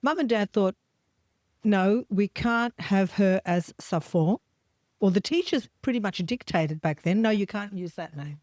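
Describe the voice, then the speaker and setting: An Australian woman tells animated stories in a casual podcast chat, laughing often and acting out the voices of the people in them. Her pitch is mid-range for a woman. The recording is close and dry. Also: measured pace, dry recording.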